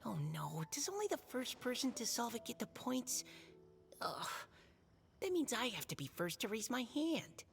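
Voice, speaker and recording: A woman voicing an anxious, timid youngster fretting quietly in a game cutscene, with a creaky voice. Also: a little high, big pitch swings, moderate pace, soft background music. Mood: worried. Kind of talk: video game cutscene voice acting.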